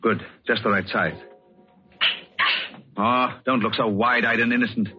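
An actor playing a cold, controlling villain, clipped and commanding, in 1940s radio suspense style. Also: male voice, fairly low pitch, steady tone, a little slow, over music, lightly acted. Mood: cold menace. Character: cold, controlling male villain coercing his accomplice. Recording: dull, faintly noisy old radio recording.

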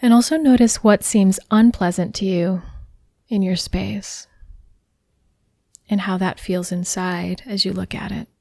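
A woman guiding a body scan meditation in a soft, soothing, unhurried way, with breath at the ends of phrases. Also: mid-range voice, steady tone, vocal fry, no echo, clean studio sound. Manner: soothing, unhurried, gently instructing. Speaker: meditation guide.

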